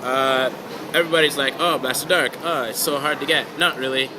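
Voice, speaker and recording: A man sick and close to losing his voice talks through his card game deck in a loose, offhand way. He drops the odd swear word and trails off with fillers. Clear background noise and passing traffic fill the store around him. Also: medium pitch, fairly even intonation, a little fast. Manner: laid-back, swears casually, trails off with fillers. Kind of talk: casual deck walkthrough. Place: hobby store.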